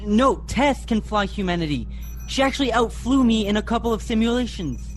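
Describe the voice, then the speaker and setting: A man's voice: sits high, fairly quick, reverberant, long reverb tail, soft background music, lightly acted. A young man playing an injured, dutiful teenage boy pilot in a sci-fi radio play. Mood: earnest. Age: young adult.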